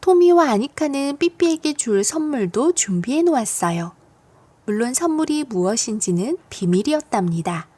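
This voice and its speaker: A woman reading a children's storybook aloud as a narrator, expressive and geared to young listeners. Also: a little high. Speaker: audiobook narrator of children's stories.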